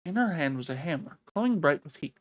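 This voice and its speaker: An expressive, excitable streamer reading a visual novel aloud in a dramatic way, with casual sweary reactions. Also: medium pitch, fast talker, dry recording.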